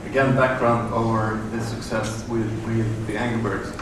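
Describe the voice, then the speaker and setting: A middle-aged man giving a conference talk in a large hotel room, halting with many fillers and restarts. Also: lowish voice, little pitch movement. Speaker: conference presenter. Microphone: room audio from a far camera. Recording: echoey, distant room recording with noise.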